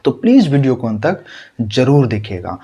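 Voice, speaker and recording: Animated male teacher with a sore throat. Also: medium pitch, moderate pace, dull audio. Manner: rhetorical questions and repeated checks. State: sore throat, straining to speak. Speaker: math teacher. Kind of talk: tutorial lesson.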